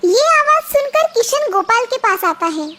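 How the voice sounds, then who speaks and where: A woman's voice: very high voice, very animated, normal speed. A woman narrating a scripted children's moral story as the storyteller. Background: loud children's music.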